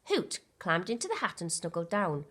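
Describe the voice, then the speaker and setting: Lady teacher reading a bedtime story to kids, bright voice, very up and down. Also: lowish voice, big pitch swings, normal speed. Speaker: primary school teacher reading to children. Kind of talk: expressive children's storybook narration.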